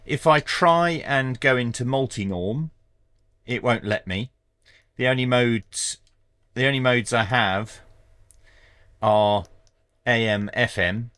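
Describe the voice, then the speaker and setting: A man giving a hands-on tech review in a measured, matter-of-fact way. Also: lowish voice, a bit bright, no room sound. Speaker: product reviewer.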